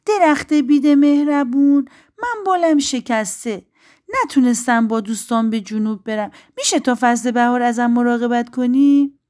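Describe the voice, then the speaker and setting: Woman voicing a small, pleading, high-pitched little bird. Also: fairly even intonation, a little mellow, natural voice. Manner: pleading, polite. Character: small injured bird, pleading, polite and sad.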